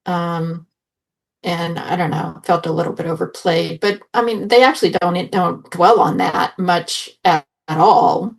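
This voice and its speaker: A woman's voice: on the low side, steady tone, measured pace, creaky, low-quality recording. A woman talking casually as a panelist on a review podcast.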